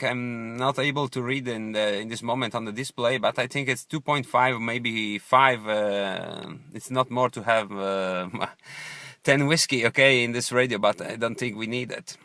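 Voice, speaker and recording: Bright-voiced Italian-accented man on ham radio. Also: medium pitch, no echo, clean studio sound. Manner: halting non-native English, courteous. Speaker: amateur radio operator. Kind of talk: unscripted ham radio contact. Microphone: original stock transceiver microphone.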